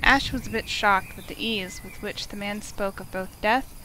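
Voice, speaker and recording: A woman's voice: medium pitch, normal speed, sharp tone, a little hiss or hum. A woman reading narration from a visual novel aloud in a storytelling style, as a game streamer.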